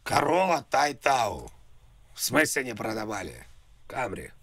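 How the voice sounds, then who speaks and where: A man on a prank call, talking in a halting, repetitive way like a naive, confused customer. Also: mid-range voice, huge pitch swings, gravelly, fairly bright tone, dry recording. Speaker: prank caller. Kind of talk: prank phone call, rambling improvised talk.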